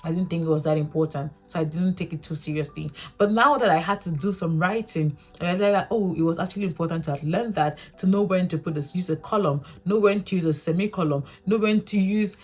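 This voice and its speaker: A woman's voice: fairly low pitch, fast, hardly any pauses, a little mellow, slightly rough, faint music behind. A young woman from Africa with a local mother tongue, talking earnestly to camera in a rambling, self-correcting way. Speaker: vlogger. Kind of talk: casual reflective talk to camera.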